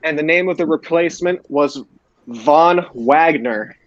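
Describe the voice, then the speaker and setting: A man with a slightly high voice hosts a casual sports recap. He talks haltingly and repeats his phrases. The sound is dull and lo-fi, like an online voice call. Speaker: podcast host. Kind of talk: casual wrestling show recap. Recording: roomy, dull lo-fi voice chat.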